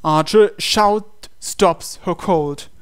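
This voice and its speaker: A man's voice: high-pitched, very slow, clean tone. A man reading a visual novel aloud as a game player, in dramatic storytelling narration.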